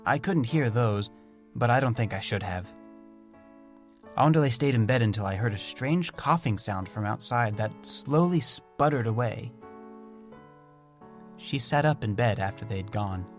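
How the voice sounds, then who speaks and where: A man voicing a gentle, naive, curious young pet creature, narrating an audio storybook in simple, thoughtful phrases with soft wonder. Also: mid-range voice, lively intonation, measured pace, long pauses, close to an everyday voice. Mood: tender. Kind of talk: first-person storybook audio drama narration. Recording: music under the voice. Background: music.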